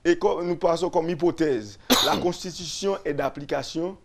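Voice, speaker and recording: A man, a lawyer and radio guest, explaining constitutional articles slowly, repeating and restarting phrases. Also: a little high, vocal fry. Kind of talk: explaining constitutional law on a radio talk show.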